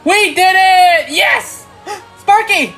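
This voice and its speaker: A narrator voicing an excitable, gallant young male pony leader with exuberant shouting. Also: extremely high pitch, extremely slow, soft background music, pitched above the performer's own voice, exaggerated voice. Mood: overjoyed.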